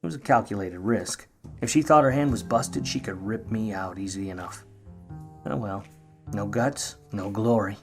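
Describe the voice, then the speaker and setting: Guy acting a grudging noir detective, lowish voice, wisecracks, old radio play, music behind. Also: normal speed, natural voice. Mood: world-weary narrating. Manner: wry, slangy, hard-boiled. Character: grudging wisecracking 1950s male private eye, hard-boiled narrator. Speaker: lead actor and narrator in a radio play.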